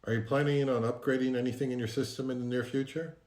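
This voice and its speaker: A man's voice: mid-range voice, steady tone, quick pace, a little mellow. A man hosting a casual interview, asking questions in everyday conversational speech. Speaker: host, interviewer.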